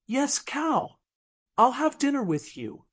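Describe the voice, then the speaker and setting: Narrator lady doing guarded woman in love, quiet n hesitant, slow, audiobook romance. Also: high voice, very animated, husky, voice pushed up, exaggerated voice. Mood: relieved, accepting.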